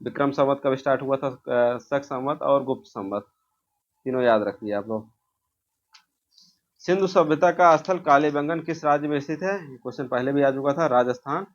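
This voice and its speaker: A man's voice: medium pitch, moderate pace. Man teaching, dull lo-fi sound. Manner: asks questions and answers them himself, repeating key answers. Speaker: exam coaching teacher. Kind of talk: informal question-and-answer revision lesson.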